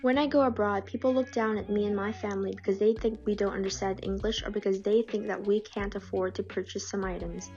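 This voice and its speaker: A woman reads personal accounts aloud in a calm, measured voice. Her pitch sits in the middle, and the recording is dull and lo-fi. Faint music plays underneath. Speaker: narrator reading submitted stories. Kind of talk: scripted reading.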